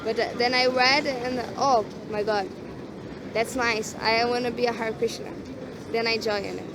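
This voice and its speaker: A woman's voice: on the high side, fairly even intonation, normal speed. A young Brazilian woman speaking earnest, simple English with a Brazilian Portuguese accent, Portuguese being her first language, in a casual street chat. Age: young adult. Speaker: Hare Krishna devotee. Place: busy city street outdoors. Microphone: hidden recorder. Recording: loud street noise, secret recording. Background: loud traffic.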